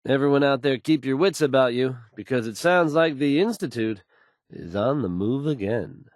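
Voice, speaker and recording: A man's voice: medium pitch, animated, moderate pace, a little mellow, no echo, clean studio sound, lightly acted. A man playing a self-assured radio newscaster in a video game, fluent and folksy as he announces the news. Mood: grave, confident. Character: confident, smooth, assured male radio newscaster.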